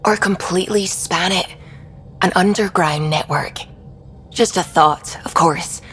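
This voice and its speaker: A woman playing a thoughtful, devout scientist on a space expedition, explaining things in a measured, reflective way in video game dialogue. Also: lowish voice, fairly bright tone, slight background noise. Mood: thoughtful, curious. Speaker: science officer character.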